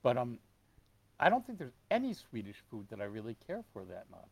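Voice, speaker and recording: A man from Detroit chatting casually as a guest, telling stories with animated put-on voices. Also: a little fast, creaky, husky, no room sound. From Detroit, USA. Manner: animated storyteller, acts out customers in high voices. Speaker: guest, store owner. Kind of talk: casual chat.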